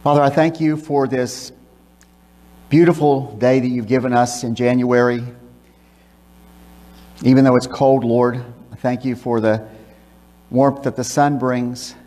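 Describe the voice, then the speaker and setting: An older man preaching from a church pulpit, reading scripture and praying in a measured, emphatic way. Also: mid-range voice, little pitch movement, warm tone. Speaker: pastor. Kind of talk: sermon with scripture reading and prayer. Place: church sanctuary, speaking from a pulpit. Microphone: pulpit microphone, filmed by a far camera. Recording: long church reverb.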